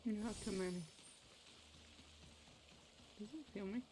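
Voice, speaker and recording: A woman's voice: medium pitch, a little slow, dark tone. Woman streamer chatting over noisy gameplay. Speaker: game streamer. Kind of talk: casual gameplay chat. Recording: noisy stream with game sounds.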